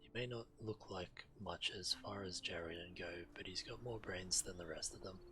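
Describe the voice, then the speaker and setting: A man playing a deep, blunt, hostile guard. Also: normal speed, close to an everyday voice. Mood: hostile, suspicious. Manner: curt, challenging. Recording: clear background game noise.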